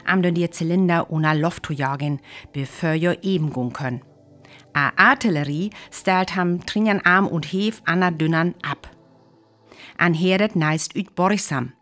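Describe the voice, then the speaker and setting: A woman playing a serious radio news reporter in a radio play, with a North Frisian accent and measured delivery. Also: on the low side, fairly bright tone, lightly acted. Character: female radio news reporter covering an invasion.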